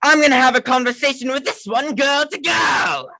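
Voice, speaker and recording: A man voicing an unhinged dark witch, shrieking in a high, raspy, intense voice. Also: normal speed, heavily acted. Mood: manic. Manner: intense, shouting.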